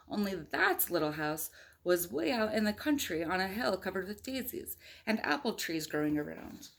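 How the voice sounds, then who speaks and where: A woman's voice: lowish voice, vocal fry, a bit bright. A woman reading a picture book aloud to young children in a conversational way, with chatty asides and questions to her listeners.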